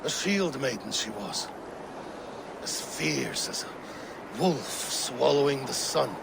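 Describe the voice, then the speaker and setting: An actor playing a weary, ailing, grieving Viking king in a video game, proud and brooding, with a gravelly voice and halting, solemn delivery. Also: mid-range voice, lively intonation, full character voice. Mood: solemn reminiscing. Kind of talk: dramatic game cutscene acting. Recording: game ambience noise behind the voice.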